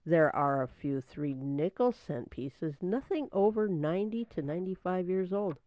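A woman's voice: on the low side, animated, moderate pace, dry recording, dull audio, close to an everyday voice. A woman playing a helpful, knowledgeable detective's assistant, earnest and explanatory, in old-time radio drama style.

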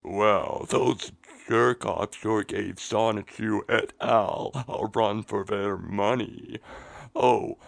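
A man's voice: fairly low pitch, fairly even intonation, slow pace, creaky, a little hiss or hum. A man voicing a sardonic, theatrical commentator in a mock-dramatic comic dub. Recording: faint music behind.

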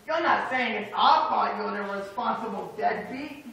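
A gravelly, scornful bank manager, as a puppeteer puts him on. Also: medium pitch, normal speed, bright, forceful tone, slight background noise. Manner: blustering, scornful. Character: rude, contemptuous bank manager. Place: small indoor puppet stage. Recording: echoey, dull room sound from far off.

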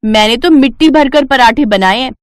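A woman puts on a greedy daughter-in-law for an animated moral tale. She is pushy and quick to complain, eager for what she wants. The sound is dry and close. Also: high-pitched, no echo, close to an everyday voice. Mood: protesting. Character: greedy, pushy elder daughters-in-law, grown women with children. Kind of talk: animated moral story dialogue.

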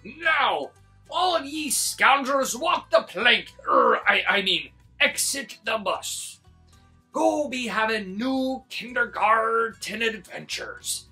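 A gruff, blustering pirate who drives a school bus in a children's storybook. His pirate talk is full of drawn-out growls and swings widely in pitch. He takes his time, and music plays behind him. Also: expressive, bright, forceful tone, exaggerated voice.

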